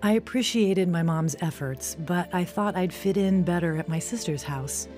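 Actress as troubled young woman, slightly low voice, earnest, conversational, audio drama, clear music bed. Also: moderate pace, natural voice. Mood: reflective. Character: young woman confessing her troubled, searching youth. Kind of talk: audio drama narration and acting.

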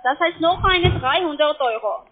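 A woman who is a non-native speaker with a foreign accent, making a halting telephone sales pitch in broken grammar on a phone line. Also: lively intonation. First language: not German. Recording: tinny, muffled phone line.

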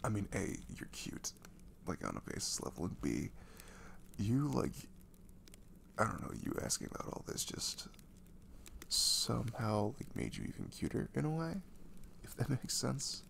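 A man playing a gentle, caring boyfriend in an intimate ASMR roleplay, speaking softly and breathily, often half-whispering, with casual, hesitant reassurance. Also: lowish voice, huge pitch swings, moderate pace, vocal fry, slight background noise, exaggerated voice. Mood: sleepy, affectionate. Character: caring, gentle young boyfriend comforting his girlfriend.

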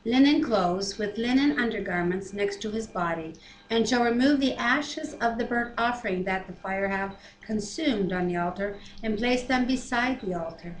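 A woman, reading scripture aloud and commenting casually as she goes. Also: medium pitch. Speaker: Bible reader and co-host. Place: living room at home, indoors. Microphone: webcam at arm's length. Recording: roomy webcam sound, faint noise.

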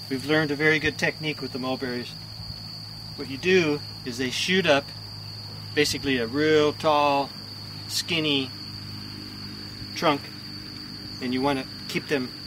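An older man giving a casual walking tour of his rural homestead, explaining his methods in a plain, practical, step-by-step way. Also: mid-range voice. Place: outdoors on a rural homestead with rice fields around. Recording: outdoor recording with insects and rural noise.

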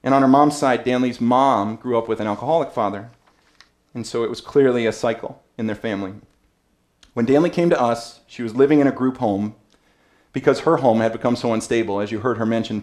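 A man's voice: mid-range voice, steady tone, a little mellow. Earnest man reading a speech. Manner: earnest, measured, reading from notes. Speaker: keynote speaker. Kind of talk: prepared speech read from notes. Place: banquet room with an audience. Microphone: at a podium.

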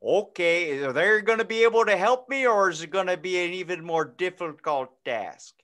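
A man's voice: high voice, animated, normal speed, a bit bright. A man voicing a clueless, senile sports-fan dad who rambles in an improvised comedy phone skit. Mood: confused, chatty. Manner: rambling, oblivious, chatty.

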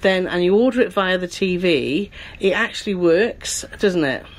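A woman's voice: lowish voice, animated, normal speed. Chatty woman touring a cabin. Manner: chatty, seeks agreement with tag questions. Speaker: travel vlogger co-host. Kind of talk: casual tour chat. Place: cruise ship cabin, indoors.

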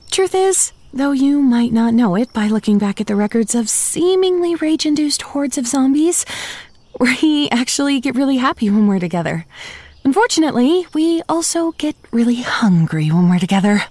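A woman's voice: fairly high pitch, darkish tone, no echo. A woman playing a wry, upbeat young female zombie who chats self-deprecatingly to the listener in a comedy audio drama. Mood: wry, chatty. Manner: conversational, self-deprecating, sardonic asides. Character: chatty, wry, upbeat young female zombie narrating her undead life.

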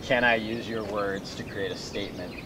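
A man's voice: lowish voice, fairly even intonation, moderate pace. A middle-aged man hosting a casual conversational interview as a coach, talking with a friend. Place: outdoors on paddleboards among mangroves. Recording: very noisy outdoor recording with birds. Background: birds and outdoor ambience.

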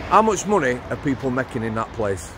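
A man's voice: mid-range voice, very animated, fairly quick. A middle-aged man puts blunt, prompting questions to his guest. He is recorded outdoors on a street at night through a handheld mic, with faint traffic in the background. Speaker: host interviewing a former prisoner. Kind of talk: walk-and-talk interview.

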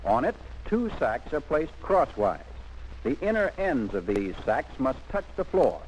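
A man's voice: mid-range voice, sing-song, a little slow, darkish tone, slight background noise, low-quality recording. A man narrating a 1940s military instructional film in an emphatic, instructive scripted manner, on an old film soundtrack.

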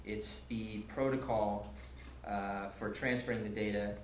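Young man, low voice, echoey room. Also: fairly even intonation, measured pace. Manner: casual, explanatory, many fillers and restarts. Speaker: presenter. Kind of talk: technical meetup talk. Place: lecture room with projector. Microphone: far camera. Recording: echoey room, faint hiss, from camera.

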